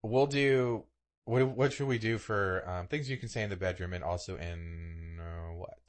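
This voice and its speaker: A man's voice: low-pitched, moderate pace. A man co-hosting a podcast in casual, comedic chat, thinking aloud a bit hesitantly as he goes. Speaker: podcast co-host.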